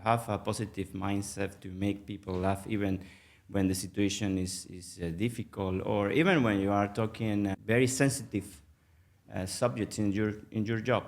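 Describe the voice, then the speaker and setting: Low-voiced venezuelan guy, spanish accent, lots of uh and restarts, chatty interview. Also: fairly even intonation. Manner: halting, many fillers and restarts. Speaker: guest, mergers and acquisitions manager. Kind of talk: casual interview.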